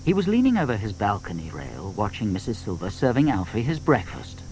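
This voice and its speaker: A man narrating a children's story in an expressive, dramatic storytelling manner. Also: fairly low pitch, fairly quick, slight background noise, low-quality recording.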